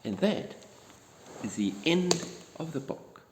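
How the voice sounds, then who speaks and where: A man reads a children's bedtime story in a gentle, expressive way. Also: fairly high pitch, slow, noisy background. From New Zealand. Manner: gentle, expressive, addressing young listeners. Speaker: storybook reader. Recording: echoey room with long reverb.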